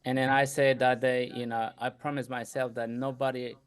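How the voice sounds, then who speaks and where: A man's voice: mid-range voice, level tone, moderate pace, smooth. A middle-aged man from Ecuador with a light Ecuadorian Spanish accent, telling his story as a guest in a casual interview, chatty and laughing often. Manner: chatty, laughs often, says "you know" a lot. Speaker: guest entrepreneur.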